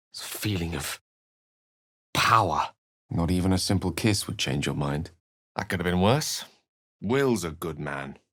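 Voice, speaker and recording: An actor playing an erudite, urbane wizard with a dry wit and a theatrical, articulate way of speaking in a video game. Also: male voice, lowish voice, a bit bright. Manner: theatrical, articulate, formal wording. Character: eloquent, erudite male wizard, urbane and dryly witty. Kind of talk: video game character dialogue.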